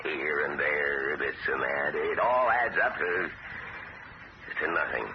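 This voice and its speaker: A middle-aged actor playing a sly, self-pitying uncle who talks smoothly and wheedles, his voice jumping up in pitch, in a 1970s radio drama. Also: slow pace. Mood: scheming. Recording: muffled old radio broadcast with hiss.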